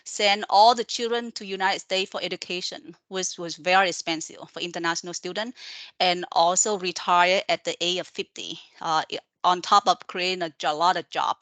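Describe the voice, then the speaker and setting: A Vietnamese-accented woman in her forties, pitched low, leads students through an online presentation. She is earnest and encouraging, and her speech is full of fillers and checks like "okay?". The sound is dry and close, as from a computer mic. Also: fairly even intonation, normal speed, a bit bright, no echo. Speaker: college professor.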